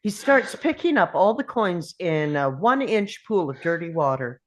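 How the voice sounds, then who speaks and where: A woman narrates the action of a film script. Her voice is a bit low, and her pitch moves around in a lively way as she reads the scene directions. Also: normal speed. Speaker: narrator reading stage directions.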